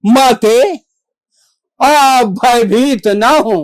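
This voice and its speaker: A stage actor performing a devotional monologue as a reverent, valiant divine monkey messenger, with formal, declamatory diction and dramatic pauses. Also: medium pitch, no echo.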